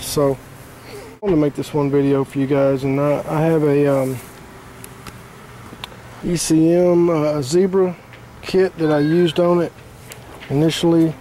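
Gravelly, flat-voiced repair technician. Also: male voice, a little high, a little hiss or hum, low-quality recording. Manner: plain-spoken, talks through each step with fillers. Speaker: HVAC technician. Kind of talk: casual hands-on repair demonstration. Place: outdoors beside an air-conditioning unit.

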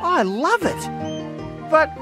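A woman's voice: high voice, very animated, very slow, full character voice. A woman voicing Max, a cheerful young farm boy puppet in a children's show. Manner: bright, enthusiastic and upbeat. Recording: studio voice over music.

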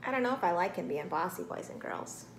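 A woman's voice: fairly low pitch, lively intonation, fast talker, vocal fry. A middle-aged woman reading a storybook aloud to children expressively, recorded in a small room. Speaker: storybook reader. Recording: roomy, some background noise.

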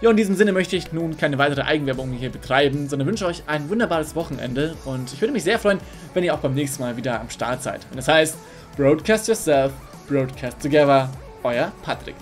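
A young man hosting a commentary video, delivering scripted narration in an indignant, sarcastic, lively way over faint music. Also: fairly high pitch, fairly quick. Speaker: YouTube commentary host.

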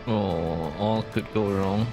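A man's voice: on the low side, slow pace. Casual male gameplay commentator over music. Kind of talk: casual let's play commentary.

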